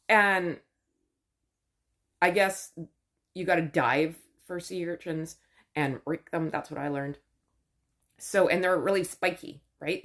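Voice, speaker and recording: A woman host giving a chatty, sarcastic recap of a show, full of wry asides. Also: mid-range voice. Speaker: channel host.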